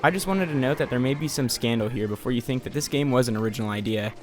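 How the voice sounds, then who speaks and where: A man's voice: medium pitch, quick pace, darkish tone. A young man doing a casual voice-over game review, sarcastic and profane. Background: faint music.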